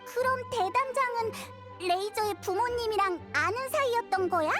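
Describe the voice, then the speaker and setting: Tiny fairy sidekick, very high squeaky voice, excitable, childlike, anime game, prominent music behind. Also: female voice, moderate pace. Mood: inquisitive. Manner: bubbly, exclamatory. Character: small cheerful floating fairy companion girl, childlike, excitable. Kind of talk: Korean anime game voice acting.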